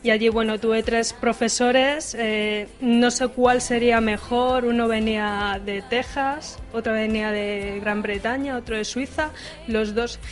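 A woman's voice: on the high side, fairly even intonation, measured pace, faint music behind. A woman answering casting interview questions with upbeat enthusiasm into a handheld mic in an office hallway. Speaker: casting applicant.

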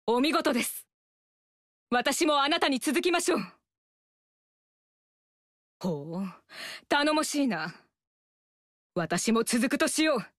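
Proud young game warrior woman; high, slow and commanding, dry studio. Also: fairly bright tone, no room sound, exaggerated voice. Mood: respectful. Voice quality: firm, commanding. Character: proud young female warrior, a warlord's daughter. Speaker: game character. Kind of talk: game voice lines.

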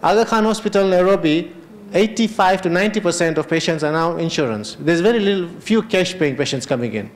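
A middle-aged man with a Kenyan Memon accent and a fairly high voice, chatting loosely as he moderates a health panel. He holds a handheld mic in a large hotel conference hall and switches between English and Kutchi phrases. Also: lively intonation, fairly quick. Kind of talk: unscripted panel discussion.

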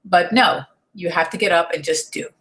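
A woman's voice: lowish voice, normal speed, a bit bright. A woman in her thirties, from the United States, giving an earnest, encouraging talk to her webcam at home, often restarting her sentences. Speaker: online marketing vlogger. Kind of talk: motivational talk to camera. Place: home room with desk and shelves, indoors. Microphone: webcam at desk.

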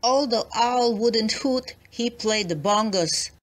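A woman reads a children's picture book aloud as a storyteller. Her voice sits a little high and she takes the words very slowly, with expressive delivery.

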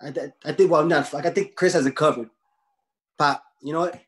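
An actor playing a blunt, streetwise young taco cook who talks in slang, in a table read. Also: male voice, a little high, fairly even intonation, a little fast, natural voice. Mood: indignant. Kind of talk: casual dramatic table read. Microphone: video call.

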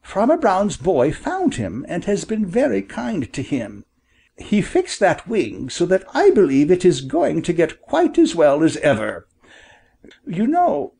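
Man voicing an animated, high-pitched father quail. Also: husky, exaggerated voice. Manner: earnest, explanatory. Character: reassuring, confident father quail in a storybook.

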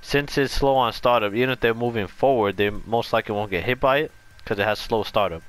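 A man's voice: on the low side, fairly quick, low-quality recording. A man giving a casual, unscripted gameplay explanation, rambling and correcting himself as he goes. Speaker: video game tutorial creator.

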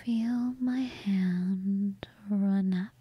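A woman voicing a cold, hungry female vampire, speaking in a slow, breathy whisper right at the microphone. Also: mid-range voice, dry recording, dull audio, heavily acted. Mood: seductive. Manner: slow, intimate, purring murmurs. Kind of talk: whispered ASMR roleplay. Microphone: close mic.

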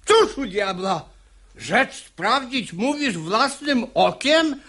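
A man's voice: very high voice, animated, takes it slowly, bright, forceful tone, heavily acted. An actor playing a hot-tempered, exasperated father in a radio play, delivering verse with blustering emphasis. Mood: exasperated fury. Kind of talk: theatrical radio drama acting in verse.

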